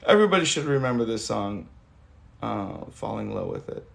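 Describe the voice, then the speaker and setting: A hoarse man in his thirties hosting a casual livestream, talking loosely and swearing now and then. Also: fairly low pitch, sing-song, normal speed. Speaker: livestream host. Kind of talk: rambling casual livestream chat. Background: guitar music.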